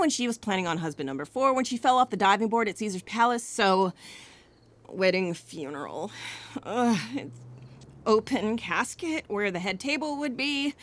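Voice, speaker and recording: A woman's voice: fairly high pitch, fairly bright tone, no echo. An actress playing a frazzled, sardonic event planner in an audio rom-com, exasperated and dramatic in her delivery. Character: frazzled wry woman event planner in holiday crisis. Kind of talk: audio comedy acting, rom-com radio play.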